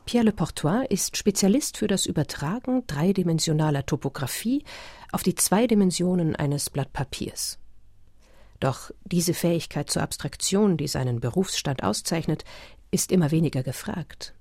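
Husky narrator, scripted radio feature, really dry studio sound. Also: mid-range voice, expressive, moderate pace, darkish tone, dry recording.

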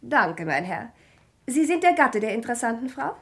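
A fairly high female voice portrays a spoiled, easily bored countess in a radio play. She speaks affected, genteel with playful airs. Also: very animated, moderate pace. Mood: amused curiosity. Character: capricious, pleasure-seeking aristocratic countess, easily bored. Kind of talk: theatrical German radio drama acting.

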